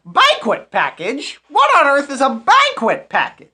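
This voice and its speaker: A woman's voice: sits high, huge pitch swings, a little slow, sharp tone, heavily acted. A woman playing a cheap, vain, exasperated male comedian hosting an old-time radio comedy, with a hesitant, put-upon manner. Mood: flustered. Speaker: master of ceremonies.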